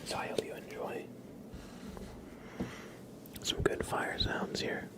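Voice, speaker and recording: A man hosting an ASMR livestream, speaking in soft whispers with a gentle, playful manner. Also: slow pace. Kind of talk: ASMR, whispered roleplay and chat with viewers.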